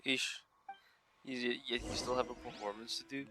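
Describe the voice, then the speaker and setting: A man's voice: medium pitch, steady tone, moderate pace. A man doing casual playthrough commentary on a video game and reading its text aloud, with faint game music behind. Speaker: game streamer.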